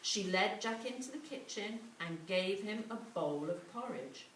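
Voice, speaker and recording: Animated woman storyteller in an echoey room. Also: mid-range voice. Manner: dramatic, drawn-out emphasis. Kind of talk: animated children's story reading. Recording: echoey room, distant mic, faint noise.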